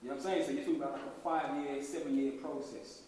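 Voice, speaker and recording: A man's voice: a little high, steady tone, fast talker, darkish tone, a little hiss or hum. A man giving an informal talk to young people, explaining things in casual street slang. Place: large hall. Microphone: distant recorder. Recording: echoey hall from far off.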